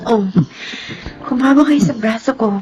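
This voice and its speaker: A middle-aged woman from the Philippines tells her own story in a heartfelt, tearful manner. Her voice sits a little high, and music plays clearly beneath her narration. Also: normal speed, low-quality recording. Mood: tender. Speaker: narrator and main character. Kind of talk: emotional first-person drama narration. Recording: background music under the voice.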